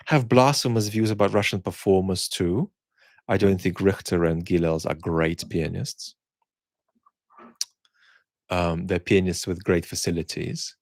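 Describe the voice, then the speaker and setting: Give me a man with a lowish voice thinking aloud to his online viewers, intellectual and opinionated, recorded close and dry. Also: no echo. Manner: halting, searching phrasing with repeated word starts. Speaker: host of a live chat for an online community. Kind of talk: reflective, conversational monologue to online viewers.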